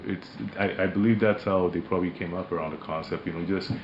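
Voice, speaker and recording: A man with a deep, imposing voice, a managing director answering questions in a radio interview, measured and hesitant with many fillers. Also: fairly even intonation, roomy sound, dull audio, a little hiss or hum. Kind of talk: radio interview, relaxed business talk. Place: radio studio.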